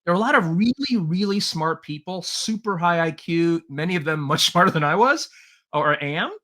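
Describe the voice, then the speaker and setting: A man's voice: on the high side, animated, normal speed, bright, forceful tone. A middle-aged man from Taiwan, a podcast guest and leadership trainer, telling his life story in an earnest way, with many "uh" fillers and light laughs. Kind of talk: relaxed interview storytelling.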